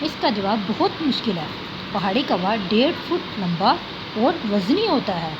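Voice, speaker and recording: A woman's voice: mid-range voice, big pitch swings, normal speed. A woman teacher reading a humorous essay aloud to students, stopping to explain difficult words in simpler terms. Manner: reads a sentence, then glosses hard words with "yani". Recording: dull, lo-fi recording with clear background noise.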